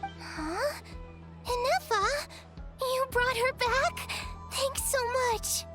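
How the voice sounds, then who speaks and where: A woman's voice: very high-pitched, extremely slow, music in the background, exaggerated voice. A woman voicing a small, sweet, wistful little girl in a video game. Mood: surprised, grateful.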